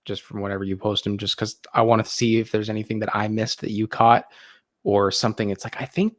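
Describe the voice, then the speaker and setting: Guest: man, lowish voice, hesitant and relaxed, dry recording. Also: brisk, no echo. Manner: hesitant, repeats words, laid-back. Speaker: podcast guest. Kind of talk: casual chat.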